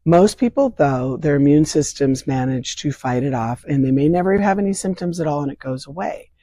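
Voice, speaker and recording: An older hoarse woman, leader of a vaccine advocacy group, earnestly explaining health topics in an interview from home with simple analogies. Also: sits low, animated, fairly quick, mellow, dry recording. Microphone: remote interview from home.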